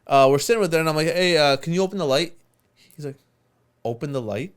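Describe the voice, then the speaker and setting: A man whose first language is Urdu, co-hosting a podcast with animated, teasing banter and a lot of swearing. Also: fairly high pitch, quick pace, smooth. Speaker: podcast co-host and editor. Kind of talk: casual banter.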